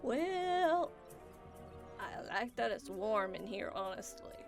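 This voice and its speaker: A woman plays a huge, jaded swamp zombie with a wry, complaining way of talking. The voice is high and swings around a lot, in a comic radio play. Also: big pitch swings, takes it slowly, music in the background, exaggerated voice. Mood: sardonic. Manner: sardonic, chatty. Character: jaded nine-foot swamp zombie man, wryly complaining.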